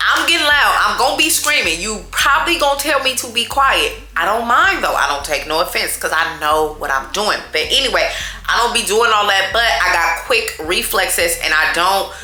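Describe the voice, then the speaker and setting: A loud, chatty woman tells a story straight to her viewers. Her voice is bright and forceful, and it sounds roomy and echoey. Faint music plays under her. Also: medium pitch, normal speed. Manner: chatty, animated, addresses viewers as y'all. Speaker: storytime vlogger.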